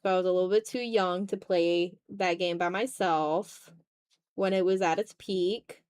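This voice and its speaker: A woman's voice: fairly low pitch, steady tone, unhurried, a little mellow, smooth, no room sound, studio quality. A woman in her twenties chatting casually on an art livestream, muttering to herself while drawing, self-deprecating and casually sweary. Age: 20s. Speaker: art streamer. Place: room at home.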